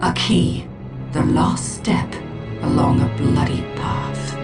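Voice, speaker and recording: A woman's voice: deep voice, steady tone, slow. A woman playing a proud, cryptic alien seer in a video game, solemn and portentous. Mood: cryptic, scornful. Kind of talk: dramatic game cutscene acting. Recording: music behind the voice. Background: dramatic game music.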